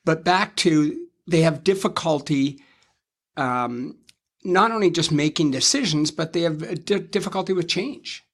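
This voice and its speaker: Measured man in his fifties, higher pitch. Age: 50s. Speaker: financial advisor co-host. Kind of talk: conversational interview.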